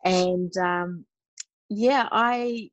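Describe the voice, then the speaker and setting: Relaxed woman from New Zealand, dry sound. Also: on the low side, very slow, no room sound, muffled recording. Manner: relaxed and reflective, with many fillers. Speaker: podcast guest. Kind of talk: personal storytelling in conversation.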